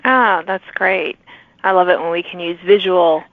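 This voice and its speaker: A woman's voice: lowish voice, moderate pace, no room sound. A woman hosting a podcast, asking an author short friendly questions. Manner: friendly, brief prompting questions. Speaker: podcast host. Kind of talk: interviewing an author. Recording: tinny phone-like line.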